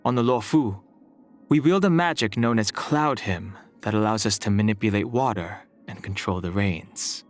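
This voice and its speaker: A man voicing a stoic, reserved young dragon-descended warrior in a video game, calm, formal and solemn, burdened by his past. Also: on the low side, normal speed, faint music behind, natural voice. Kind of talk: video game character voice lines.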